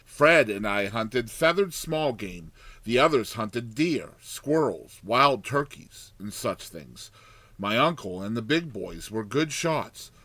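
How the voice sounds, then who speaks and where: Male narrator for dramatized classic fiction: lively melodic range, emphatic storytelling, dry recording. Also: medium pitch, takes it slowly, no echo. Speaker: audiobook narrator.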